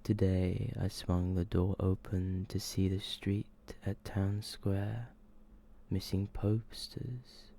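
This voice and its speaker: A soft-spoken man reading short horror stories as ASMR narration, often slipping toward a whisper. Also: deep voice, level tone, slow pace, gravelly.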